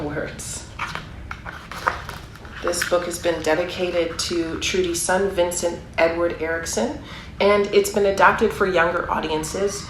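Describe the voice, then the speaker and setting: A woman with a slightly low voice reads a story aloud for young children. She speaks in an encouraging way, mixing the book's text with chatty explanations and questions. The recording is echoey, with noticeable background noise. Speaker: storyteller reading a children's picture book aloud. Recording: roomy echo, clear background noise.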